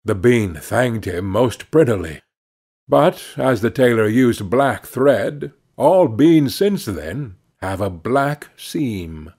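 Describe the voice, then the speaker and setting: Male audiobook narrator for children's tales: slow, animated intonation, slightly husky voice. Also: medium pitch. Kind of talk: scripted children's storybook narration.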